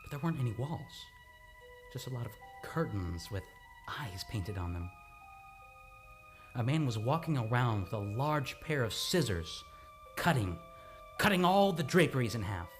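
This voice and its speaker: A man playing a troubled, agitated amnesiac in an old-time radio drama, speaking haltingly and repeating his words. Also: medium pitch, very animated, lightly acted. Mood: haunted, recalling. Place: radio studio, live radio play. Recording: dramatic music under the voice.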